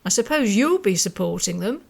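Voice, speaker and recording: A woman's voice: mid-range voice, very animated, fairly quick, vocal fry. A woman playing a chatty, gossipy village newsagent in a radio drama.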